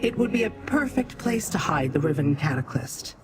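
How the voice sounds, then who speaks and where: An actress playing a cool, knowing woman in a fantasy video game, speaking in a formal, measured way over music. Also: low-pitched, sing-song. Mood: musing.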